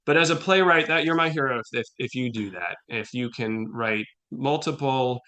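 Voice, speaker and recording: A man gives casual interview answers as a guest playwright. His voice is mid-pitched with a bright, forceful edge. He speaks haltingly, working out his thoughts aloud. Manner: halting, thinks aloud, acts out imagined remarks.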